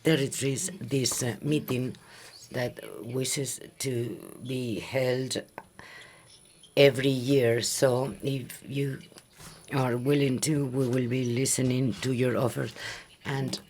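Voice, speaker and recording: Man chairing a meeting, measured and halting, mid-pitched. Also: flat delivery, a little mellow, a little hiss or hum. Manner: measured, halting with frequent fillers. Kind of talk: formal meeting address. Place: conference hall.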